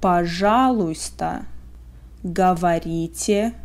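Russian-accented woman tutor, mellow voice, very slow deliberate drilling. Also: on the low side. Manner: word-by-word, carefully spaced pronunciation. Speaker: language teacher. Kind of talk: slow phrase drills for learners.

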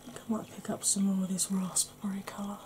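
Soft-whispering Welsh woman, mellow voice. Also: medium pitch, little pitch movement, fast. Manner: soft, often whispered, chatty and mildly sarcastic. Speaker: beauty YouTuber. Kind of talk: ASMR makeup tutorial. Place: indoors at home, face in close-up.

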